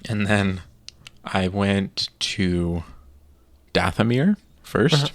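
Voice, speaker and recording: A man with a fairly low voice recounting his gaming struggles on a podcast. He tells it with animated energy and acts out his own reactions. The recording is dry and close. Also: drawn-out words, no room sound. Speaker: podcast co-host. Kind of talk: casual gaming podcast chat.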